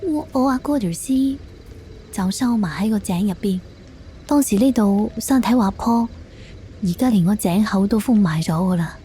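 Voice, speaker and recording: Woman playing a mellow, reluctant confessing aunt. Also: medium pitch, normal speed, slight background noise, lightly acted. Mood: reluctant, subdued. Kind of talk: Cantonese audio drama acting.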